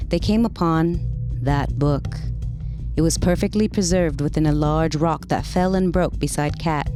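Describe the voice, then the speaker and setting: A woman narrating a short story as a dramatic reading. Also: low-pitched.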